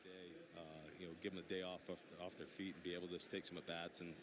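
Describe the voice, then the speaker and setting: A man's voice: deep voice, flat delivery, rapid-fire. A man, a ballplayer in a casual interview, hesitant and repeating words, often saying you know. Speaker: professional baseball player. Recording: outdoor ballpark noise.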